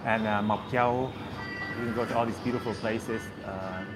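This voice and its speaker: A man's voice: lowish voice, fairly even intonation, normal speed, loud music behind the voice, rough recording. A man from Southern Vietnam chatting casually with his viewers as a vlogger, rambling and easygoing with plenty of fillers. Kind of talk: casual chat with live viewers. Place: busy street outdoors. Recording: loud street traffic behind. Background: loud traffic and street noise.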